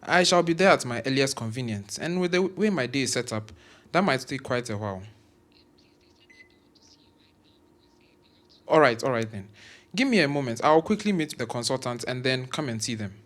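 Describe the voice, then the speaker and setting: An actor with a Ghanaian accent playing an arrogant senior medical professor who scolds in grand, wordy language. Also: male voice, medium pitch, expressive, fairly quick, fairly bright tone, natural voice. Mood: brisk, self-important. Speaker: voice actor in an audio drama. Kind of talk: scripted audio drama acting.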